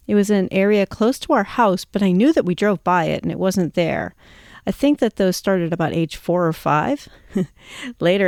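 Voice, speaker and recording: A woman's voice: fairly low pitch, darkish tone, no echo. Woman in her fifties, close dry sound. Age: 50s. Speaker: show host. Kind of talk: personal storytelling to listeners.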